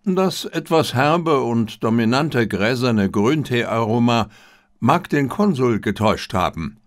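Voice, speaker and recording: A man reading the part of a confident, gently mocking detective with an assured, lecturing manner in an audiobook. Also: medium pitch, animated, slow pace, no room sound, studio quality. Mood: brisk, assured.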